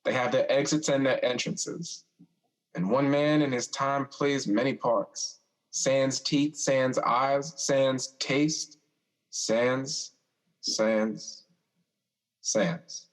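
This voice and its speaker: An actor playing a gentle, wistful young man in an audio drama, speaking in a measured, musing way. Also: mid-range voice, low-quality recording. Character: gentle, philosophical young man, wistful older brother figure.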